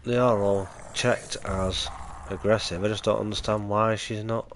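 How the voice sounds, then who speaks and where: Guy gaming stream, bunged up with a cold, kinda low voice, chill and chatty. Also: steady tone, moderate pace, slight background noise. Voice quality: low-key, chatty and easygoing. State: stuffy with a cold, nasal. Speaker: livestream host. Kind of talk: casual gaming livestream chat.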